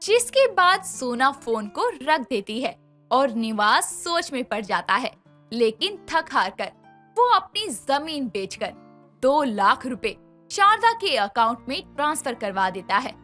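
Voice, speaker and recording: A woman tells a cartoon story at an unhurried pace, her high, bright voice rising and falling a lot. Soft music plays under the narration. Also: big pitch swings.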